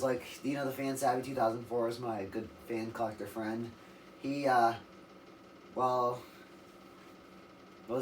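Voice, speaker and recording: Raspy man rambling on a distant mic. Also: mid-range voice, a little hiss or hum. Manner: rambling, self-correcting, loses his train of thought. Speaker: ceiling fan collector demonstrating a fan. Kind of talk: casual off-the-cuff video narration.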